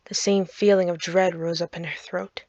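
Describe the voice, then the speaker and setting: A woman's voice: fairly low pitch, level tone, moderate pace, darkish tone, no echo, muffled recording. A woman reading a visual novel aloud as a dramatic let's play narrator. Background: faint game music.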